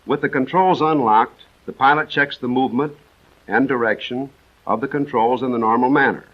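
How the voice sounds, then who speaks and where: An American man narrating a 1940s military training film in a measured, precise, instructional manner. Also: medium pitch. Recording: dull vintage 1940s film soundtrack.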